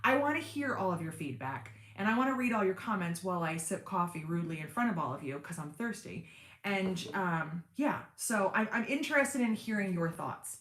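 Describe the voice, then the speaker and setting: A woman hosting a casual sewing livestream, reading viewer comments and chatting with lots of fillers and self-deprecating asides, with a fairly deep everyday voice. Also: expressive, a little fast, fairly bright tone, echoey room. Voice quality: deep speaking voice in everyday talk. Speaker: sewing channel host on a livestream.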